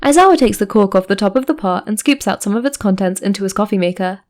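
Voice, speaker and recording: A woman narrates a piece of fan fiction at a middle pitch. She reads from a script as a storyteller, moving through description and scene-setting. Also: animated, fast, a little mellow. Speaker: fan fiction podfic reader.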